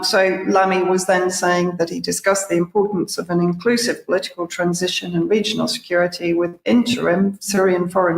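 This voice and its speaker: Middle-aged female presenter, gravelly edge, sceptical commentary over a webcam in a roomy home office. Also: fairly low pitch. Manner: sceptical, reads quotes aloud with asides. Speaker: news presenter. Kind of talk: commentary with read-out quotes. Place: home room with bookshelves. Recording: echoey room.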